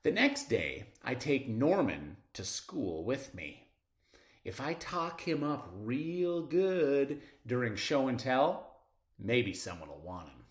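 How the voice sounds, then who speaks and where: A man reading a children's story aloud expressively, with dramatic pauses and drawn-out words. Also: medium pitch, very animated. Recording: roomy, echoing sound.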